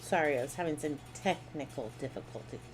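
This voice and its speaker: A woman's voice: low voice, fairly quick, faint music behind. A woman co-hosting a live stream, giving blunt, opinionated commentary in a casual way. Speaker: co-host.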